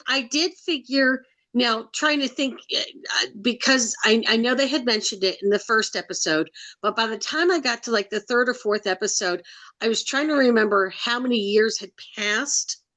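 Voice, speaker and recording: A woman co-hosts a podcast and thinks out loud in a hesitant, casual way, with fillers and thoughts that trail off. Her voice is bright and sits slightly high. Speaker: podcast co-host. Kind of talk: casual chat.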